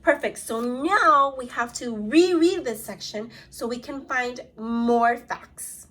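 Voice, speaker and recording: A woman's voice: a little high, takes it slowly, a bit bright. A woman teacher leading a read-aloud lesson for young children, asking questions and guiding them in an encouraging, instructive way. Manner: encouraging, instructive, addressing pupils directly. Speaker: elementary school teacher.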